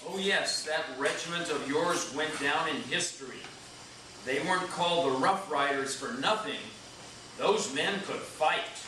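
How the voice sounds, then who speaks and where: A man's voice: on the high side, a little slow, natural voice. A man playing a principled, idealistic general-president in a readers' theater stage reading. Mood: proud. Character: principled, idealistic George Washington, male general-president in heaven. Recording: echoey room, distant mic, noisy.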